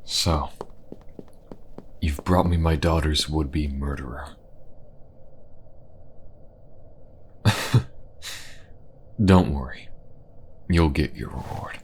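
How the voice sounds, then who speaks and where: A man's voice: extremely low pitch, steady tone, slow, long pauses, a little mellow, slight background noise, exaggerated voice. A man playing a stern, vengeful king and grieving father in a fantasy audio roleplay, imperious and menacing. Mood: menacing, bitter.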